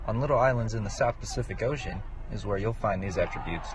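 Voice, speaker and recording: Brief: male student reporter, lowish voice, traffic noise behind. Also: fast. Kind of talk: scripted news narration.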